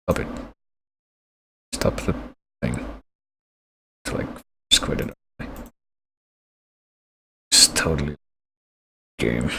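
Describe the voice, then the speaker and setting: A man's voice: very deep voice, very slow, vocal fry, long pauses, pushed and bright, a little hiss or hum. A man streaming a video game, rambling and thinking aloud as he plays. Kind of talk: gameplay commentary.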